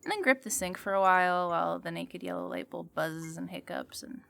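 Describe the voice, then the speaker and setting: Contemplative female host of an eerie radio drama, measured, gravelly fry, faint music bed. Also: fairly low pitch, expressive, fairly bright tone, exaggerated voice. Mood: detached, eerie. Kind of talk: scripted reflective fiction narration.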